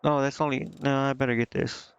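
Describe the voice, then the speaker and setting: A man's voice: mid-range voice, little pitch movement, a little fast, smooth, no echo, highs cut off. A man giving casual gameplay commentary, indecisive and muttering to himself as he streams. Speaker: game streamer. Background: game music.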